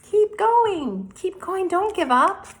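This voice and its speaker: A woman's voice: extremely high pitch, expressive, takes it slowly, pitched above the performer's own voice, heavily acted. A woman voicing small encouraging storybook birds, chanting eagerly as they cheer someone on.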